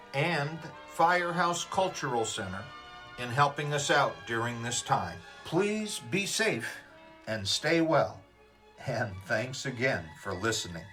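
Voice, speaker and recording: A man's voice: a little high, bright, forceful tone. A man reading a scripted closing thank-you as a radio theater announcer.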